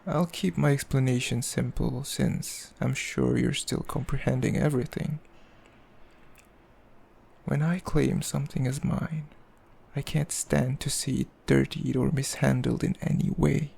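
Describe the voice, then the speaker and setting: A man playing a cruel, possessive demon king in an ASMR roleplay, speaking in a haughty, mocking, measured way with a gravelly voice. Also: mid-range voice, fairly even intonation, a little mellow, heavily acted. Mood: possessive amusement. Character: cruel, possessive male demon king, coldly amused, condescending. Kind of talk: ASMR roleplay, slow intimate villain monologue.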